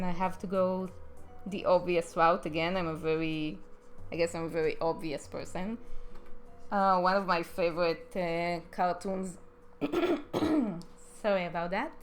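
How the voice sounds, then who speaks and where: A young woman with an Israeli accent chatting casually on her drawing live stream, rambling with frequent ums while answering viewers. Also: fairly low pitch. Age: young adult.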